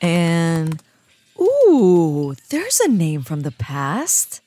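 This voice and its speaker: A woman's voice: fairly low pitch, huge pitch swings, very slow, dark tone, heavily acted. A woman playing a wry, sarcastic hacker agent who comments dryly as she works. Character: wry tech-savvy young female agent, hacker.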